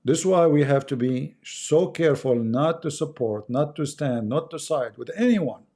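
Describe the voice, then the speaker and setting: Arabic-accented man preaching, dark-toned voice. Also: on the high side, expressive. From Middle East. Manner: preachy, rhetorical questions, slows to stress words. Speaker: religious commentator. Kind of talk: impassioned monologue.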